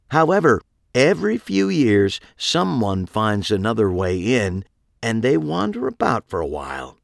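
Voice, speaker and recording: A man's voice: medium pitch, vocal fry, no room sound, clean studio sound, voice pushed up, exaggerated voice. A male narrator voicing a smug, menacing killer who lectures his captives in a taunting manner. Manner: taunting, lecturing, enjoys explaining. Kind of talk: audiobook character reading.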